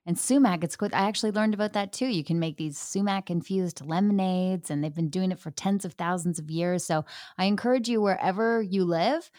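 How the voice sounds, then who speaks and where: A woman's voice: fairly low pitch, brisk, no room sound. Enthusiastic woman host, dry sound. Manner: enthusiastic, warm storytelling with fillers. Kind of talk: casual interview chat.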